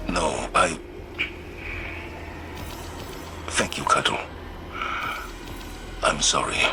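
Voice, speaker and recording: An actor playing a weary, dignified military commander in a game, grave and restrained under the weight of grief. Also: male voice, very deep voice, takes it slowly, music in the background. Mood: weary, subdued. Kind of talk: scripted game dialogue.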